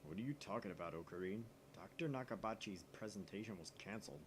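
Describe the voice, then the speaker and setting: A man's voice: sits low, lively intonation, quick pace, slight background noise, voice pushed down. A man voicing a laid-back, skeptical young friend in a visual novel, speaking matter-of-factly. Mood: puzzled.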